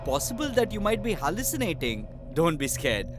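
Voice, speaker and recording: A dismissive village neighbour from a children's cartoon. His high voice moves in a very animated way, over clear music. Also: fairly quick. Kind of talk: cartoon story dubbing.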